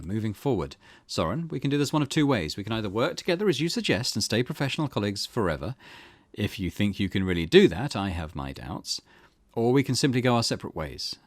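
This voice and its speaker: A man's voice: on the low side, no room sound. A man reading the part of a stern, exasperated work colleague in a visual novel, firm and formal.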